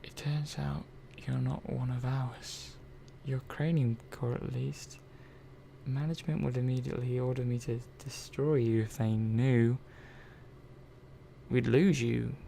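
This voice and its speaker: A man playing an earnest, hesitant robot repair technician in a soft-spoken ASMR roleplay, halting and trailing off mid-sentence. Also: medium pitch, steady tone, vocal fry, a little hiss or hum. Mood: grave, hushed, then worried, protective.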